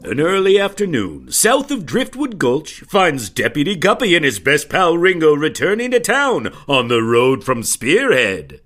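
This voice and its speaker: Man as high-pitched, theatrical Western serial announcer. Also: big pitch swings, a little slow, hardly any pauses. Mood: grand, theatrical. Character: dramatic male announcer narrator of a Wild West serial. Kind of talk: old-time Western serial narration. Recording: loud music behind narration.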